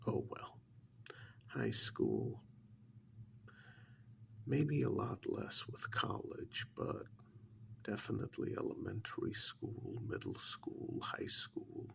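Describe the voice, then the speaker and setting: A man playing a calm, probing government agent in an ASMR role-play, speaking in a soft breathy half-whisper with a measured, condescending manner. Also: fairly low pitch, a little hiss or hum, exaggerated voice. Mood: coolly analytical. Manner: measured, condescending, deliberate with long pauses.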